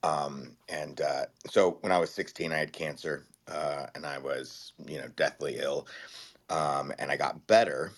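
A man's voice: a bass voice, fairly even intonation, a little slow. A man, a guest, telling his story in a laid-back, rambling way with many fillers and restarts. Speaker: guest, writer-actor-producer. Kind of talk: casual interview storytelling.

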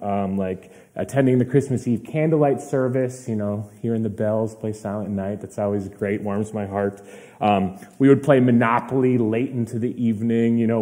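Young male pastor, a bit hoarse, dark mellow voice, easygoing conversational sermon. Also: medium pitch. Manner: casual, chatty preaching with fillers and asides. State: slightly hoarse.

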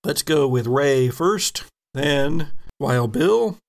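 A man's voice: medium pitch, animated, very slow. A man hosting as game master, narrating a tabletop horror role-playing game in a dramatic storytelling manner. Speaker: game master, podcast host.

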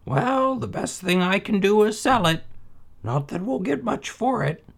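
A man voicing Aladdin's mother, a fussy, scolding middle-aged woman with a shrill, exasperated manner. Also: sing-song, unhurried, gravelly, exaggerated voice. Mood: grumbling.

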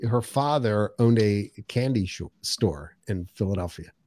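A man's voice: fairly low pitch, lively intonation, a little slow, crowd noise. A man co-hosting a podcast in casual chat, rambling and jokey as he talks. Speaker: podcast co-host.

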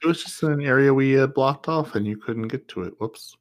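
A man co-hosting a casual gaming podcast, dropping wry, deadpan remarks. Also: medium pitch, fairly quick. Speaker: podcast co-host.

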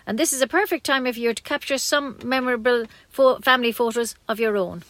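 A woman reads a community radio news bulletin, her voice set a little high and recorded close and dry in a small studio. She keeps a measured, friendly pace and now and then trips over a word. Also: fairly bright tone, no echo. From Ireland. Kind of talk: read local news bulletin.

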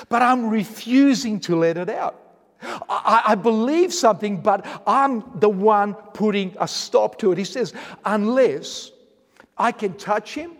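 Australian male pastor, fervent repetitive preaching, high-pitched, long church-hall reverb. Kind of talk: sermon.

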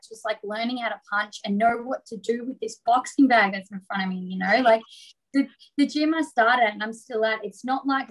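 An Australian woman answering as a guest in a casual interview, relaxed and rambling, filling her talk with "like". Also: fairly high pitch, rough recording. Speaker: boxer, guest.

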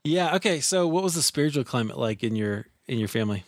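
Casual male podcast host, dry close sound, quick to affirm his guest. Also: mid-range voice, animated, dry recording. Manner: friendly and affirming, with lots of short reactions. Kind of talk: casual interview.

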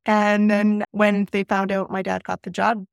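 A middle-aged woman with a Canadian accent whose first language is Spanish, telling her own story as a podcast guest in a reflective, measured way. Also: medium pitch, monotone, gravelly, no room sound, studio quality. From northern Alberta, Canada, born in Peru. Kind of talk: personal storytelling in an interview.

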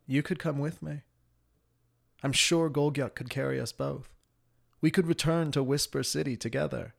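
A man's voice: mid-range voice, moderate pace, vocal fry. A man playing an earnest, friendly prince in a fantasy audio story, with a sincere and solemn manner. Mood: hopeful, friendly.

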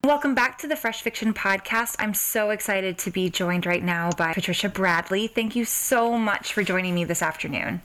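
A woman's voice: medium pitch, normal speed, hardly any pauses, fairly bright tone, a little hiss or hum. A woman hosting a podcast interview in a casual, chatty, enthusiastic way. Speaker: podcast host.

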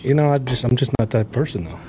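A man with a medium-pitched voice and lively intonation chats casually as a podcast co-host. His remarks are dry and teasing. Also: fast, noisy background. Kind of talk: casual banter.

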